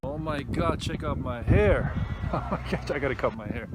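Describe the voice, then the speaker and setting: A man's voice: on the high side, expressive, moderate pace. A man from the United States chatting casually on a handheld camera outdoors by a beach, with fillers and frequent laughs. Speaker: travel vlogger. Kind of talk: casual vlog chat. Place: outdoors by a beach and golf course. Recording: loud traffic and wind noise outdoors.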